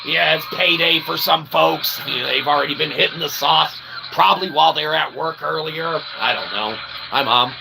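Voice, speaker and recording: Male streamer, higher-pitched creaky voice, mock-philosophical, wordy, casual rambling, frequent tag questions, noisy background. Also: normal speed, hardly any pauses. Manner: verbose, pseudo-formal wordy phrasing with frequent tag questions; tongue-in-cheek mock philosophizing. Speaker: livestream host. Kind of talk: rambling casual monologue to live viewers. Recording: steady background noise.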